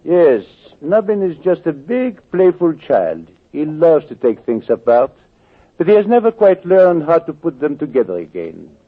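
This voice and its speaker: An actor playing an urbane, calmly menacing crime boss in a vintage radio drama, mockingly polite and measured. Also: male voice, fairly high pitch. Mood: smoothly menacing. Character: suave, sinister male crook boss, calmly menacing. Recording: tinny, noisy old radio recording.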